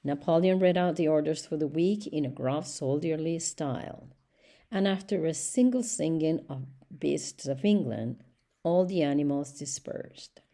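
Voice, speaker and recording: A woman reading a storybook aloud as scripted narration, with measured, deliberate phrasing. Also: sits low, warm tone. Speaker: audiobook reader.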